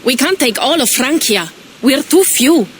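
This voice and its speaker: A woman's voice: high-pitched, lively intonation, measured pace, slight background noise. An actress playing an anxious young Viking woman pleading with her kin in a video game cutscene. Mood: worried. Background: loud game score.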